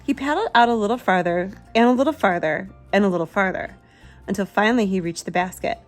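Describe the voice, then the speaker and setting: Female storyteller for young kids, medium pitch, expressive picture-book delivery, light music underneath. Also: quick pace. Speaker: children's book reader, channel host. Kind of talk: picture-book read-aloud with asides to a child.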